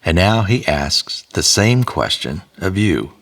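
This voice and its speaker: A man delivering a solemn, measured scripted address as narrator. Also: low-pitched, very animated, a bit bright, exaggerated voice.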